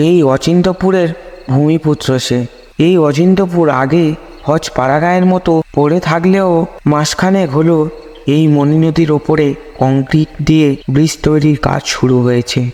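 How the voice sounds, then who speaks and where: A man with a fairly high voice narrates a scripted horror tale. His delivery is that of a storyteller, and long reverb trails each phrase. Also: steady tone, normal speed, darkish tone.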